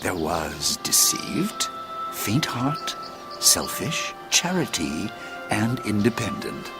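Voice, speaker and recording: An actor playing a wise old sage and former schoolmaster, narrating an audio drama in a stately, deliberate, theatrical way over music. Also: male voice, lowish voice, sing-song, exaggerated voice. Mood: storytelling. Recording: loud music bed under the voice.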